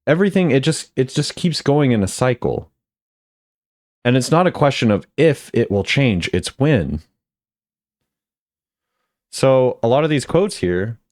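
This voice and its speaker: A man's voice: medium pitch, very animated, measured pace, pauses often, a little mellow, no room sound, clean studio sound. A man giving casual chatty commentary as a video creator, often repeating phrases for emphasis. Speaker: video creator ranking game voice lines.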